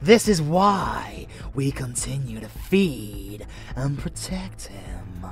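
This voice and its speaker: A man voicing an unhinged, seductive vampire warrior in a dark romance audio roleplay, theatrical, with breathy murmurs and sudden swells. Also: medium pitch, big pitch swings, slow pace, faint music behind. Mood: grave.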